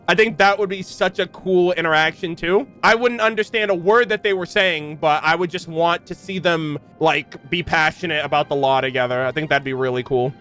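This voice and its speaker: A man with a high voice giving casual reaction commentary as a game streamer. He is expressive and slangy, quick to joke, with faint music in the background. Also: clear, resonant tone.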